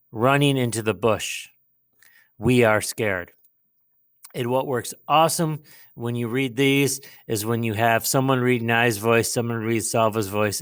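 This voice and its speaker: A man teaching a lesson, explaining a writing task step by step, with pauses and repeated phrases. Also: lowish voice, clean tone. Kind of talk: instructional lesson, modelling a writing task aloud.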